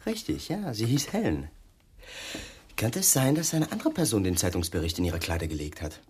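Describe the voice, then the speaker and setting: An actor in a German radio crime drama playing a pushy police detective who is mock-polite, probing and slyly insinuating. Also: male voice, lowish voice, sing-song, moderate pace, a little mellow, slight background noise.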